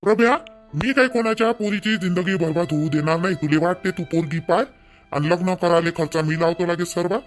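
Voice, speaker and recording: A man plays a stern village father from a cartoon, exasperated and scolding. The voice sits high for a man and has a Vidarbha Marathi accent. Questions come again and again, over faint music. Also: brisk, natural voice. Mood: stubborn, exasperated. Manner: scolding, repeats rhetorical questions.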